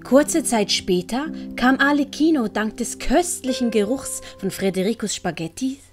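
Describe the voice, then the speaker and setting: A woman with a Swiss German accent reads a storybook aloud in a gentle, measured way. Her voice sits a little high, and music plays clearly behind her. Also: expressive. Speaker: narrator and author. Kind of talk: storybook narration.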